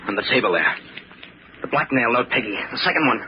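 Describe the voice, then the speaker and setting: An actor playing an excitable, desperate young man who pleads and stammers in a radio drama. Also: medium pitch, brisk, lightly acted. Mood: tense. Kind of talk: old radio drama acting. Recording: dull, noisy old radio recording.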